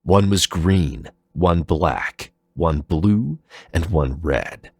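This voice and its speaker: A man's voice: deep voice, animated, drawn-out words, slightly rough, dry recording, studio quality. A man narrating a scripted dramatic fan fiction story.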